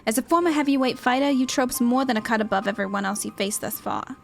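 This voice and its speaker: A woman's voice: a little high, fast, gravelly, soft background music. A woman voicing an earnest, supportive young female fighter's second in video game dialogue, sincere and subdued. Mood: earnest, encouraging.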